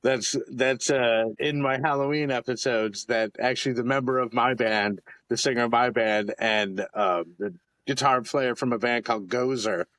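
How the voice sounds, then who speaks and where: A man's voice: medium pitch, steady tone, normal speed, fairly bright tone, dry recording, poor audio. A man in his fifties with an American accent, hosting a podcast in casual, rambling chat full of fillers. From Capital Region of New York. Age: 50s. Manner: rambling, filler-heavy storytelling with lots of laughs. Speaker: podcast host.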